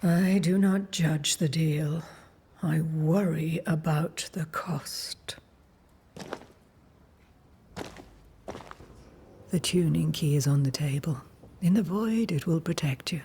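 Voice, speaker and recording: An actress playing an enigmatic witch leader in a video game, breathy and measured, giving cryptic, ominous guidance. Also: low-pitched, dark tone, a little hiss or hum. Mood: grave, probing. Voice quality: breathy, husky. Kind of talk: dramatic video game acting.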